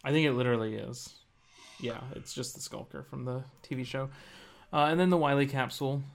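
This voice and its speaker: A man's voice: mid-range voice, fairly quick, vocal fry. A man co-hosting a podcast, talking casually about video games. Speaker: podcast co-host.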